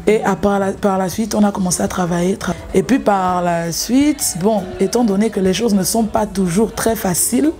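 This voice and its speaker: Hesitant young Gabonese woman, mellow voice. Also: mid-range voice, normal speed, few pauses, faint music behind. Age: young adult. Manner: hesitant, many "euh" fillers and restarts. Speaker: singer. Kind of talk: recorded interview, telling her story.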